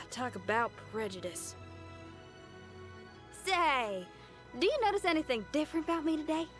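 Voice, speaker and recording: A woman voicing a spirited, brash but good-hearted young female rock musician in a video game, chatty and folksy in her expressive delivery. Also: sits high, exaggerated voice. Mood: candid, wry, then hopeful. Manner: folksy, colloquial, chatty and enthusiastic. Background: background music.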